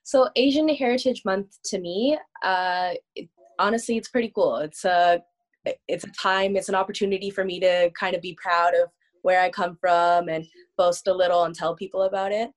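A woman's voice: on the low side, steady tone, moderate pace. Earnest, chatty Canadian woman. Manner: earnest, chatty, laughs easily. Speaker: podcast guest. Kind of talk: casual chat.

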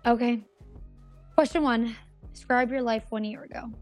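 An actress playing a busy, exasperated younger sister in young adulthood, blunt and prodding, in a naturalistic audio drama style. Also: female voice, a little high, steady tone, moderate pace, a little mellow, faint music behind, close to an everyday voice. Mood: coaxing.

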